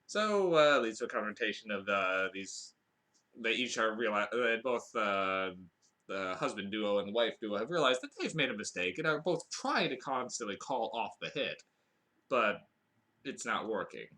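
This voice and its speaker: Lively, bright-voiced man rambling through a review. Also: on the low side, normal speed. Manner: rambling, with frequent fillers and restarts; dry, wry asides. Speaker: podcast host reviewing a film. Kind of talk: casual spoken review.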